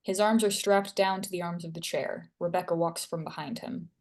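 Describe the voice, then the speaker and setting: A woman's voice: fairly low pitch, steady tone, moderate pace. A woman reading stage directions and scene headings as narrator at a screenplay table read.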